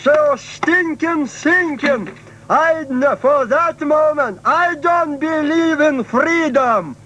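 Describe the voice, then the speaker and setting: A man with a Lithuanian accent co-hosting a comedy radio show, speaking halting, broken English. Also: very high voice, pushed and bright, lo-fi sound, a little hiss or hum. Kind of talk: irreverent comedy radio banter.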